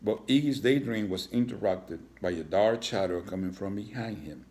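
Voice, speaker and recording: A man narrating a children's storybook aloud, reading with occasional halting stumbles. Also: on the low side, darkish tone. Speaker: storybook reader.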